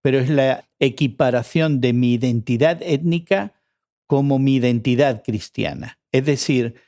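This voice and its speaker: A man's voice: medium pitch, a little slow, warm tone. A man on a radio panel, talking earnestly about faith and identity, often restarting his words. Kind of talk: faith discussion.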